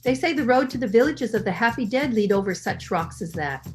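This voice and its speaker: Woman acting an earnest wife, high animated voice. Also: a little fast, soft background music, lightly acted. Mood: earnest, solemn. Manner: earnest, theatrical. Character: earnest, superstitious young wife in peril. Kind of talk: 1930s radio melodrama acting.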